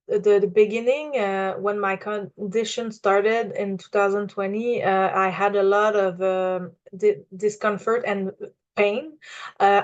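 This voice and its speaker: A woman in her twenties from Quebec with a Quebec French accent, hesitantly telling her own story with many pauses and fillers, English not her first language. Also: medium pitch, dull audio. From Quebec, Canada. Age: 20s. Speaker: client giving a testimonial. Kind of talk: unscripted personal testimonial.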